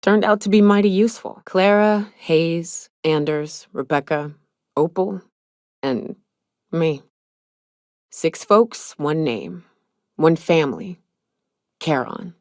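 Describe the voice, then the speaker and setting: Game role: hardened female monster hunter, low-pitched, blunt, folksy and world-weary, close dry sound. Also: slow pace, pauses often, darkish tone, dry recording. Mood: nostalgic. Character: tough, hard-drinking, haunted female monster hunter and mercenary. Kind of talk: video game character dialogue.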